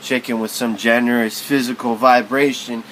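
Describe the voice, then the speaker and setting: A man's voice: mid-range voice, steady tone, moderate pace, a bit bright. Man musing aloud over background noise. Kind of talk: spoken-word reading of literary prose.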